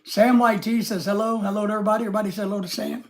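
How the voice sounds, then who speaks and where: A man's voice: high-pitched, level tone, rapid-fire. A man hosting a casual live stream with a head cold, rambling and reading out viewer comments.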